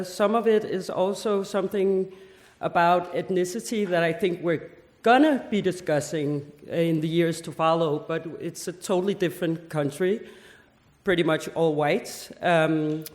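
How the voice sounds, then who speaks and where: A woman in her forties from Denmark giving a conference talk, lively, with joking asides and rhetorical questions. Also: mid-range voice. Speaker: festival head giving a seminar talk. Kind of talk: conference presentation. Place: seminar hall. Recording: echoey hall with long reverb.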